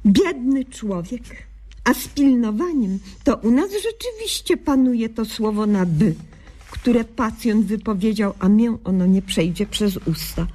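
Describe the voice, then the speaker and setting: A woman playing an earnest, naive young doctor in a radio comedy sketch, deadpan in her quaintly mangled officialese. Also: on the high side, unhurried. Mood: solemn narrating.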